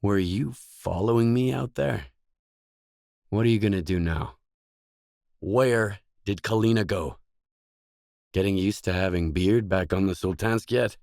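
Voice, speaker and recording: An actor playing a gruff, guarded lone mercenary in a video game, speaking in a terse, stern, matter-of-fact way. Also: male voice, lowish voice, measured pace, pauses often, a little mellow, no room sound, clean studio sound. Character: gruff, guarded lone mercenary man, blunt and cool-headed. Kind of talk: scripted game dialogue lines.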